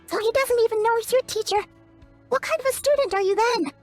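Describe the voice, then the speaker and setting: A woman voicing a tiny, childlike, perky and blunt fairy companion in a video game, with a squeaky voice and exclamatory delivery. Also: fairly quick. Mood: skeptical, blunt. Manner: refers to self in third person, exclamatory. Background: music.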